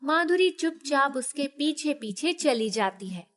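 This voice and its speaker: A woman storyteller with a very high voice. She narrates a scripted tale in clear sequence, as a storyteller would. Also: lively intonation, a little slow, fairly bright tone.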